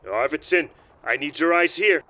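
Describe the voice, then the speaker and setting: A man voicing a gruff, battle-hardened lieutenant piloting a war machine in a military audio drama, with clipped, muttered or snarled callouts. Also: fairly high pitch, animated, normal speed, bright, forceful tone, pitched above the performer's own voice. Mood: angry, urgent.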